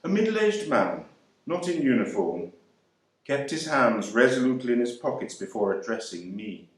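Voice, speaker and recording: An actor playing a proud, wry young man serving in the air force, speaking with measured delivery and deliberate pauses. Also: medium pitch, very animated, natural voice. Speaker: actor reading a monologue. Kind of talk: dramatic monologue reading. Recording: very echoey room.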